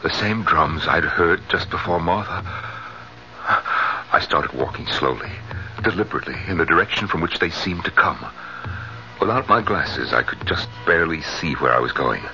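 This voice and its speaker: An actor playing a jealous, unhinged middle-aged husband in a 1970s radio drama. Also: male voice, deep voice, expressive, moderate pace, sharp tone, full character voice. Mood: tense, subdued. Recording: dull vintage radio recording, music behind.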